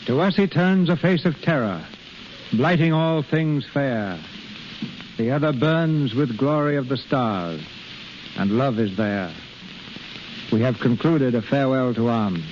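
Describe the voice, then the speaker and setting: A man's voice: medium pitch, animated, measured pace. A man hosting a 1930s radio drama show with solemn, oratorical scripted narration. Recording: muffled 1930s radio, faint crackle.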